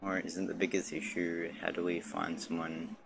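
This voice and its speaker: A man casually commenting on a game livestream and reading out dialogue, with a gravelly voice. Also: deep voice, level tone, moderate pace. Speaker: game streamer. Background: game music.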